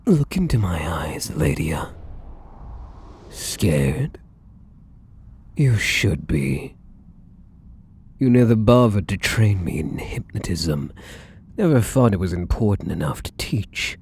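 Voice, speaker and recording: A man playing a defiant, protective vampire in an ASMR roleplay, with husky, breathy delivery and theatrical, formal phrasing. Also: deep voice, sing-song, slow pace, pauses often, a little mellow, a little hiss or hum, full character voice. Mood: menacing, triumphant. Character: defiant, protective young male vampire, bitter and menacing. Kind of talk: ASMR audio roleplay acting.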